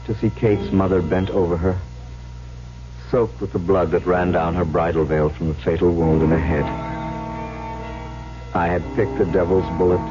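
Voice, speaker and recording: Low-voiced, tormented young huntsman. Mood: despairing. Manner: grave, dramatic storytelling. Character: tormented young huntsman bridegroom recounting his doom. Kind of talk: old-time radio drama narration. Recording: dull old radio recording.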